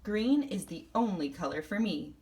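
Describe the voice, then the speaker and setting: A woman's voice: medium pitch, expressive, normal speed, darkish tone, close to an everyday voice. A woman voicing a proud, dramatic young male iguana in a storybook, with an emphatic manner. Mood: defiant, grumpy.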